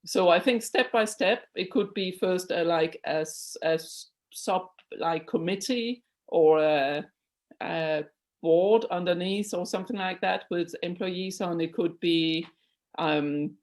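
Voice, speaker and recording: A woman's voice: fairly low pitch, a little slow. Earnest Danish-born woman on a video call. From Denmark. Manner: earnest, emphatic, many restarts. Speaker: guest speaker, board chair. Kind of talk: webinar Q&A answer.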